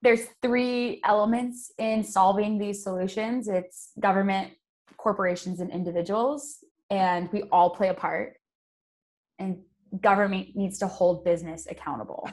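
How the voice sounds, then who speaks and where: A woman's voice: mid-range voice, steady tone, normal speed, a little mellow. A young American woman giving earnest, conversational interview answers as an activist guest, explaining her points and voicing imagined thoughts.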